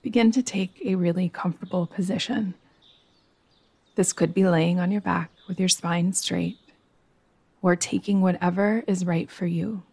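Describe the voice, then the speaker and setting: A woman guides a meditation in a soothing, unhurried manner, leaving long pauses between phrases. Her voice is lowish and stays very even, with little rise or fall. It is recorded close and dry, with no room sound. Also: gravelly, husky, no room sound. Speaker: yoga nidra meditation teacher.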